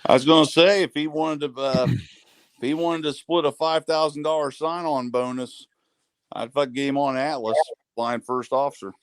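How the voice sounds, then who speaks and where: A man joining a casual podcast chat as a guest, talking in a quick, matter-of-fact way. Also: a little high, steady tone, clean tone, dry recording.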